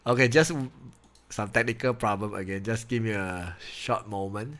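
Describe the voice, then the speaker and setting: A man's voice: fairly low pitch, normal speed, a bit bright. Chatty Malaysian man tutoring on a live stream. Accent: Malaysian English. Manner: chatty, laughs often, loose grammar. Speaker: online maths tutor. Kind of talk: casual live-stream chat with students.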